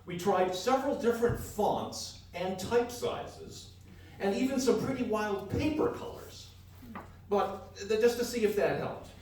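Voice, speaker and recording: A man's voice: high-pitched, measured pace, darkish tone. A man performing scripted comedy banter to a live audience in the manner of a mock-grand showman announcer. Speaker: comedy radio show performer and co-host. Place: live stage in a hall. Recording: very echoey hall, distant mic, faint noise.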